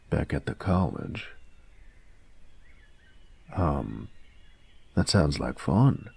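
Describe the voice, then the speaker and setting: A man playing a gruff young bully in an audio roleplay, husky and gravelly, talking in halting slang with feigned indifference. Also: extremely low pitch, a little mellow, heavily acted. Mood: awkward, hesitant. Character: gruff young male bully, secretly curious about nerdy games. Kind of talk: intimate one-sided audio roleplay, casual dialogue.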